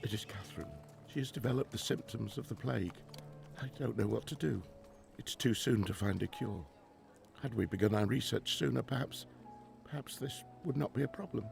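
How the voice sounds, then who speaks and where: A man acting in a radio drama as a middle-aged 1920s professor and grieving father, formal and earnest, halting when troubled. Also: medium pitch, vocal fry, darkish tone, soft background music. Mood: sorrowful, solemn.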